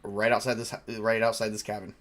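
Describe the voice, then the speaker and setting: A man's voice: on the low side, little pitch movement, quick pace, a bit bright. A man casually narrating a tabletop role-playing game as game master, improvising and thinking aloud with some hesitation.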